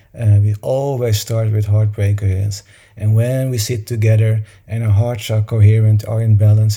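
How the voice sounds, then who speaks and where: A man's voice: lowish voice, vocal fry, warm tone, slightly rough. A man leading a meditation session, talking informally to a group in a soothing, encouraging manner.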